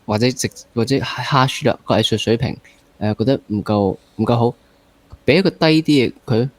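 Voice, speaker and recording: A young man speaks Hong Kong Cantonese at a middling pitch. He hosts in a conversational interview style and often slips English words into his sentences. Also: moderate pace. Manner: mixes English words into speech. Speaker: podcast host.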